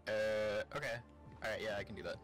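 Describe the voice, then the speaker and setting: Male gamer, lowish voice, casual and instructive, talking over faint background music. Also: fairly quick, fairly bright tone. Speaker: gamer, co-op partner giving directions. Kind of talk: casual gameplay chat.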